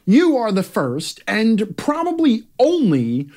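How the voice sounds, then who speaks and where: A man's voice: high voice, huge pitch swings, slow pace, darkish tone. A man reading his own opinion column aloud as a scripted comic rant, brash and sarcastic, with theatrical emphasis and plenty of swearing. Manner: brash, profane.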